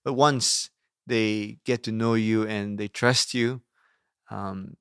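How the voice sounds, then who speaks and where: Man, young adult, non-native English, lowish pitch, clear resonant tone, measured, explanatory, conversational guest. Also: fairly even intonation, a bit bright, no room sound, studio quality. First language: not English. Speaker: interview guest, youth minister. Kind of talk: conversational interview about ministry.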